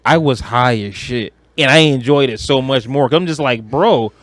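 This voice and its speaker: A man's voice: mid-range voice, moderate pace, fairly bright tone, no room sound. A man chatting casually and emphatically about movies on a podcast, with slang, swearing and repeated phrases. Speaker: podcast co-host.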